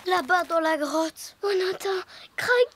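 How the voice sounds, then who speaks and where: An actor voicing an excitable young animal in a children's cartoon, exclamatory and childlike, with music underneath. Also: extremely high pitch, slow, heavily acted. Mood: alarmed.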